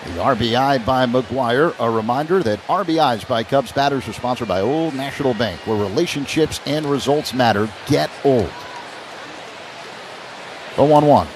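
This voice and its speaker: A man's voice: medium pitch, moderate pace. An American man calling a baseball game live on radio as play-by-play announcer, in clipped phrases that follow the action. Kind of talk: live radio sports commentary. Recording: crowd ambience noise behind broadcast. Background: ballpark ambience noise.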